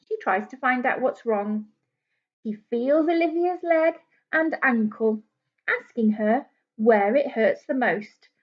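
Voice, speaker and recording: A woman with a fairly high voice reads a picture book to young children as a teacher would. She explains things in simple words and puts questions to her listeners. The recording is a little dull and lo-fi. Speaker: primary school teacher. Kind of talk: read-aloud storybook lesson for young children. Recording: dull lo-fi small camera recording.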